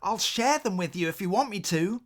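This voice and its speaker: Man voicing a high-pitched, earnest little owl. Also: lively intonation, moderate pace. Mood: earnest pleading. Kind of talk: children's picture book read aloud.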